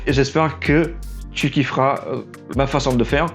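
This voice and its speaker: A young man with a Portuguese accent talks loosely to camera in French, tripping over words and circling back as he goes. His pitch sits in an ordinary middle range, his voice sounds a bit worn, and faint music plays under him. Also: fairly even intonation. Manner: halting, stumbles and restarts often, self-deprecating. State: losing his voice. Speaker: aspiring trailer editor, vlog host. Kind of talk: unscripted casual vlog monologue.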